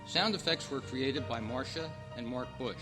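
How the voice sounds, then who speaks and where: A man announcing in old-time radio style, reading scripted credits with formal, deliberate enunciation. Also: mid-range voice. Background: music.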